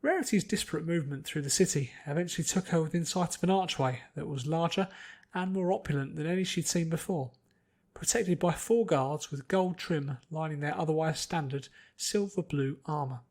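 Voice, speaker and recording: A man's voice: fairly high pitch, a little fast, a little mellow. A man reading scripted fantasy fiction aloud as its narrator.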